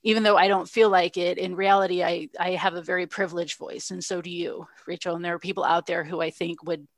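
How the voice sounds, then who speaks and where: Lower-voiced woman podcast cohost, earnest and thoughtful, restarts a lot, dry close sound. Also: level tone, quick pace, no echo. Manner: reflective, earnest, many restarts. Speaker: podcast co-host. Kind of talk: casual chat.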